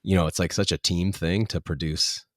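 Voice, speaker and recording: A man from the United States hosting a podcast, talking in a quick, chatty way full of "like" and "you know". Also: low voice, fairly even intonation, no room sound, clean studio sound. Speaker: podcast host. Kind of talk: casual conversational interview.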